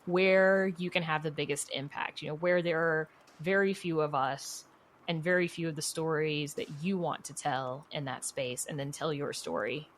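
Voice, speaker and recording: A woman with a notably low voice talks casually as an interview guest. She gives advice in a candid, encouraging way, leaning often on "you know" as she goes. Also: fairly even intonation, moderate pace, slight background noise. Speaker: podcast guest, writer and producer.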